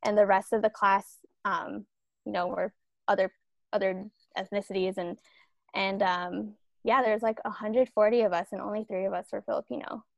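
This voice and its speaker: A woman's voice: medium pitch, steady tone, normal speed, creaky, no echo, lo-fi sound. A young woman from Los Angeles, first language Tagalog, thoughtfully telling personal stories in casual conversation. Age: young adult. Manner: reflective, storytelling.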